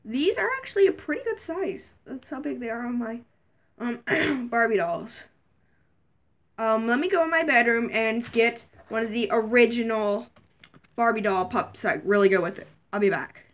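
A young woman vlogger chatting casually while unboxing toys, a little sick, her voice wearing thin, squealing excitedly at surprises. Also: on the high side, moderate pace. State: slightly sick, voice going. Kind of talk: casual toy unboxing chat. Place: dim bedroom, indoors.

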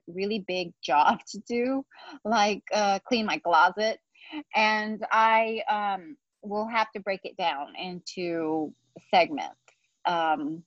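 A woman in her forties reading a picture book aloud to children, deliberate and explanatory, with casual asides. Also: mid-range voice, no echo, lo-fi sound. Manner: deliberate, explanatory, speaking to young listeners. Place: outdoor patio beside trees.

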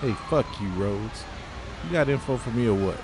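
A medium-pitched man acting as a fugitive forced into informing, in an audio drama. He sounds bitter and streetwise, curt and defiant, with rough language. There is faint noise in the background. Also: lively intonation, normal speed, lightly acted. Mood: curt, guarded. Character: defiant, cornered male fugitive turned reluctant informant. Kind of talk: scripted audio drama dialogue.

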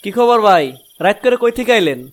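A man's voice: extremely high pitch, lively intonation, brisk. A man voicing a nosy, prying village neighbour in a comedy cartoon, with a colloquial Bangladeshi accent.

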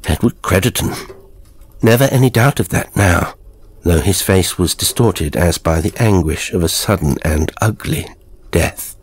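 A man's voice: low-pitched, measured pace, slightly rough. A man narrating a horror story in a grave, theatrical, dramatic scripted style.

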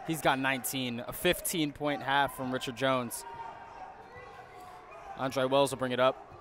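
A man's voice: medium pitch, level tone, measured pace. A man giving enthusiastic, casual color commentary on a college basketball game. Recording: crowd and court noise behind the commentary.

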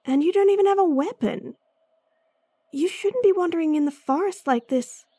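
A woman voicing a gruff, impatient female bounty hunter in a fantasy audio drama, blunt and sardonic, sighing often. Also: very high-pitched, animated, moderate pace, warm tone, dry recording. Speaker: voice actress.

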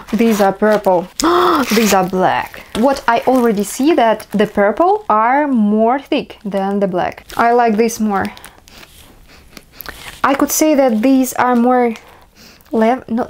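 A woman's voice: on the high side, slow pace, slight background noise. A young woman from Russia with a Russian accent, chatting casually while unboxing a haul in her bedroom, marvelling at each item. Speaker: vlogger. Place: small bedroom, indoors.

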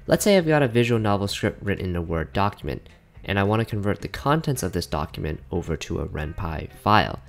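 A man giving a casual, plain-spoken scripted tutorial narration as a software developer explaining a tool. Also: low voice, very animated, fairly quick, gravelly. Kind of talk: scripted explanatory narration over screen recording. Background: background music with guitar.

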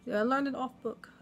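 A woman in her thirties with a Cajun accent and a naturally deep voice, rambling casually in a home video diary, full of ums and self-corrections. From Southern US. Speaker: vlogger, aspiring actress. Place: living room at home, indoors. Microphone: camera close at face level.

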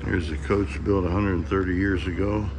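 A man filming a railroad visit, announcing the place and date in a low-key, matter-of-fact way from behind the camera. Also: level tone, normal speed. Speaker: videographer narrating his own train video. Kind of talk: casual on-camera narration. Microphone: camera mic, close to the person filming. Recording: clear background noise.

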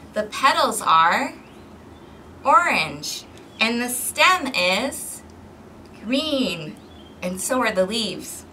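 A woman reading a picture book aloud to young children in an expressive, interactive way, recorded outdoors on a balcony. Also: fairly high pitch. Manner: expressive, clearly paced, questioning as if to young children. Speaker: storytime host for a community service. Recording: distant mic with outdoor background noise.

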